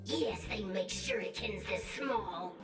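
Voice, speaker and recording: A woman voicing a small, proud and fierce ninja creature from a fantasy video game, in a squeaky, theatrical voice. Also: animated, slow pace. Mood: cheeky. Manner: emphatic, theatrical. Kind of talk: video game character voice lines. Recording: game audio with music behind.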